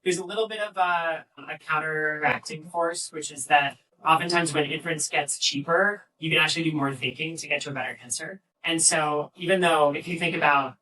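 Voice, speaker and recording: A man answering as a panelist at a live fireside chat, explaining in a halting way, picked up by a distant mic. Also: a little high, fairly even intonation, pushed and bright. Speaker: panelist, AI agent engineer. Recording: distant mic, poor event audio.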